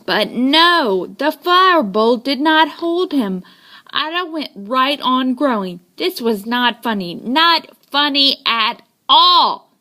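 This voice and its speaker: A woman reading a children's story aloud with dramatic, deliberate emphasis, telling it in a child's first person. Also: high voice, expressive, bright, forceful tone. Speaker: storybook reader.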